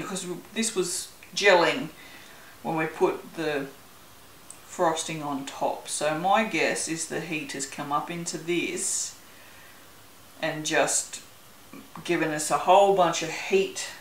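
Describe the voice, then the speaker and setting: A woman's voice: on the low side, reverberant, a little hiss or hum, poor audio. An Australian woman chatting casually as she works on a craft video, rambling a little and laughing often. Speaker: soap-making channel host. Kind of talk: casual chat while working.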